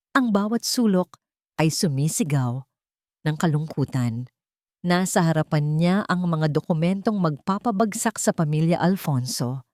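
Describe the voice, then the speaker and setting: A woman's voice: fairly low pitch, darkish tone, no room sound, clean studio sound. A woman reading a dramatic romance story as a scripted narration.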